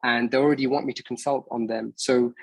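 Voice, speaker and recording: Man in his thirties, husky, hesitant. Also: medium pitch, steady tone, brisk, rough recording. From Bangladesh, raised in England. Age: 30s. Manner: hesitant, many fillers and restarts. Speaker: host of an online meeting. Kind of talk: casual presentation on a video call.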